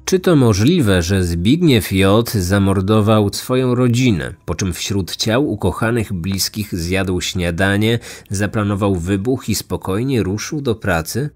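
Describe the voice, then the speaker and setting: A man's voice: lowish voice, animated, unhurried, few pauses. A man narrating a scripted true crime story as the host of a podcast. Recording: music behind the voice. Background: background music.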